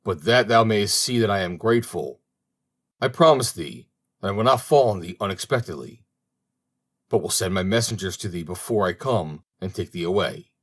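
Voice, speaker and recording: A man's voice: deep voice, animated, normal speed. A man voicing Death as a stern, solemn spirit in a storybook, speaking in formal, archaic, commanding phrases. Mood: solemn, declaring.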